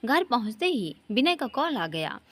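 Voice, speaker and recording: High-voiced woman narrating an emotional story. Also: sing-song, normal speed, a bit bright. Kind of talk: scripted narration of an emotional Hindi love story.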